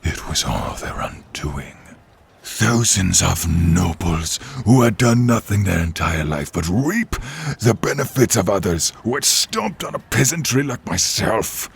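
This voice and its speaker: A man playing a menacing, bitter dark sorcerer king risen from peasantry, with a gravelly half-whispered rasp and grandiose, taunting delivery in a fantasy audio drama. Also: deep voice, heavily acted. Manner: slow, theatrical, taunting delivery. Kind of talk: fantasy audio roleplay acting.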